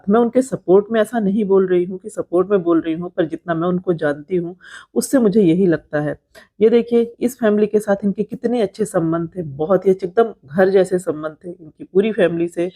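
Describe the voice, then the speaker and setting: Indian woman with a mellow, soft-toned voice. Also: medium pitch. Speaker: vlogger commenting on creator drama. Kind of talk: informal narrated gossip commentary.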